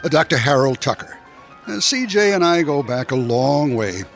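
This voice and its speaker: An actor playing a genteel older island doctor in a radio mystery, speaking politely and haltingly. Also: male voice, on the high side, animated, vocal fry, a bit bright, soft background music. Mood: apologetic. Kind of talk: old-time radio mystery acting.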